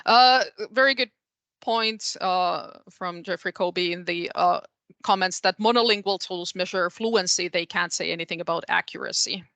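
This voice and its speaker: Woman, native Finnish speaker with Finnish accent; bright, dry-sounding; academic guest answering with careful hesitation. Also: lowish voice, moderate pace, gravelly, no room sound. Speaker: guest speaker, translation researcher. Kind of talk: academic question-and-answer discussion.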